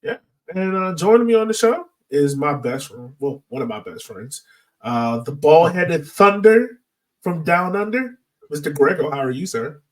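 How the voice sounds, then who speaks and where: A man's voice: fairly high pitch, lively intonation, moderate pace. A man hosting a podcast in a casual, informal, slangy way. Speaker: podcast host. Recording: slightly distant from the mic.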